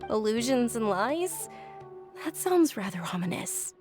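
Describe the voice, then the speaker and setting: A woman voicing a gentle, gracious young noblewoman in a video game, polite and softly explanatory. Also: a little high, sing-song, a little slow. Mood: thoughtful. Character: gentle, gracious young noblewoman, frail yet cheerful and devout. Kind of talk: video game character acting. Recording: music behind the voice.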